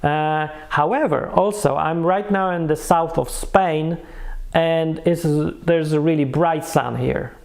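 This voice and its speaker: A man's voice: on the high side, slow, gravelly. A man who is a non-native English speaker gives a casual, unscripted product review, searching for words and correcting himself as he goes. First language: not English.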